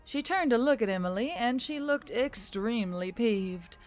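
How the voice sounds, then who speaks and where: A woman's voice: a little high, expressive, moderate pace, faint music behind. A woman reading a scripted story as a narrator. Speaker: audiobook-style narrator.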